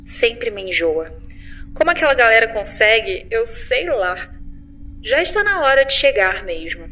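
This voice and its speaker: A woman playing a sarcastic, chatty galactic patrol officer logging an expressive, informal mission report in a sci-fi audio drama. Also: a little high, unhurried, highs cut off, a little hiss or hum, heavily acted. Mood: playful, rambling. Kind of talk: science fiction audio drama log.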